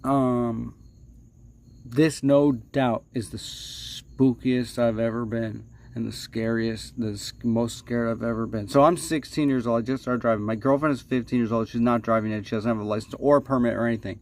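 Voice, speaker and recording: Guy with mid-pitched voice rambling stories on a livestream, kinda halting, hams up the drama for fun. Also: fairly even intonation. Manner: playfully dramatic, stretching words and dropping to hushed asides. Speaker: livestream host. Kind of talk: casual rambling spoken storytelling.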